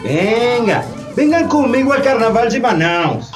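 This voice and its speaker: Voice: mid-range voice, sing-song, measured pace. A jolly carnival barker, swooping up and down and stretching out words. The delivery is showy and teasing, radio-play style, with music playing behind. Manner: sing-song, showy, mixing Portuguese words into Spanish. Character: jolly, teasing Brazilian carnival barker inviting people along. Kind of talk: theatrical radio drama acting.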